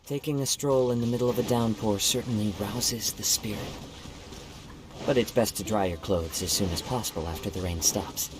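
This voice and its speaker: An actor voicing a calm young male swordsman attuned to the wind, delivering soft-spoken, composed, poetic game voice lines. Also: lowish voice, normal speed, natural voice. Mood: serene. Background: game music.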